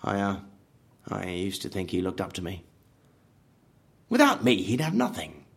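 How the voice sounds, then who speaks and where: Obsessive horror park designer, low husky voice, swinging pitch, bitter, grandiose, vengeful, audio drama. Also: male voice, big pitch swings, moderate pace, exaggerated voice. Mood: bitter brooding. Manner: brooding, bitter, grandiose, prone to outbursts.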